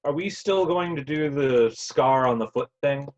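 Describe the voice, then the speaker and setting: A man playing an earnest, grieving grown son from the future in a table read, with a halting, emotional delivery. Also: medium pitch, lightly acted. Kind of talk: virtual table read of a play. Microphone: video call. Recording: dull, lo-fi online call audio.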